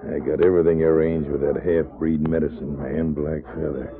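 An actor playing a scheming, cold-blooded outlaw boss in a radio western, with a folksy, commanding way of talking. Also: male voice, rumbling low, little pitch movement, moderate pace, a crowd in the background. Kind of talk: old-time radio western acting. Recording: muffled, lo-fi vintage radio recording.

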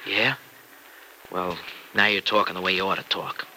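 An actor playing an earnest, plain-spoken young farmer with folksy, insistent delivery in an old-time radio soap. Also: male voice, deep voice, steady tone, normal speed, bright, forceful tone, heavily acted. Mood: intense, tender. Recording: noisy vintage radio recording.

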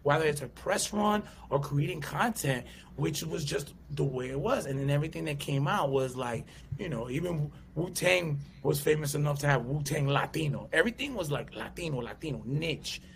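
Casting: gravel-voiced guy in his thirties, animated storyteller slipping into quoted voices, slightly higher pitch, faint background hiss. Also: moderate pace. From USA. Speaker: guest comedian and actor. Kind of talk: casual interview chat.

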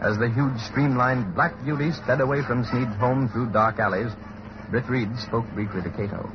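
A man's voice: medium pitch, moderate pace. A man announcing and narrating a radio serial in dramatic, scripted 1930s style. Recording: muffled, hissy old radio broadcast.

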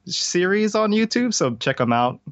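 A man's voice: high-pitched, big pitch swings, normal speed, dull audio. An American man from Hawaii chatting casually as an interview guest, rambling, slangy and joking. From Hawaii, United States. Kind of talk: casual chat.

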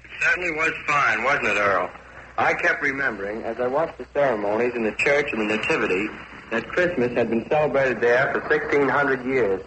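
A man's voice: medium pitch, brisk, pushed and bright, low-quality recording. An American man making an earnest, formal prepared statement in a 1940s radio broadcast. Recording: 1940s shortwave radio with faint noise.

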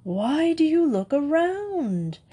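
A woman's voice: high voice, very animated, slow, warm tone, voice pushed up, full character voice. A woman voicing a crafty male wolf in a storybook, coaxing and falsely sweet. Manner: coaxing, scheming. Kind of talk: storybook character voice.

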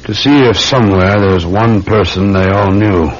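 An actor playing a measured, dry London police sergeant in a classic radio drama. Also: male voice, fairly even intonation, breathy edge. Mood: dry, guarded. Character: weary, methodical London police sergeant, a patient uncle. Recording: dull, rumbling, noisy old radio recording.

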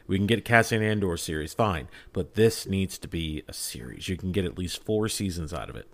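A man's voice: low-pitched, animated, fairly quick. A man in a casual podcast fan discussion, speaking fervently and emphatically as he urges his points on listeners. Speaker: podcast panelist.

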